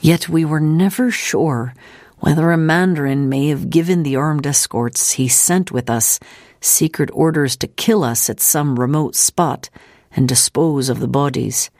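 A narrator voicing a steadfast veteran missionary woman who gravely and deliberately recounts danger in an audiobook. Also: medium pitch, a little mellow, dry recording, clean studio sound, close to an everyday voice. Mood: grave, recollecting.

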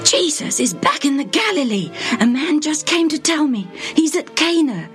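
A woman's voice: sits high, big pitch swings, moderate pace, fairly bright tone, soft background music, voice pushed up. Storybook role: anxious royal father, quivering and urgent, slightly raised voice, read by a woman. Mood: pleading. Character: desperate middle-aged father, royal official. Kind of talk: storybook reading.

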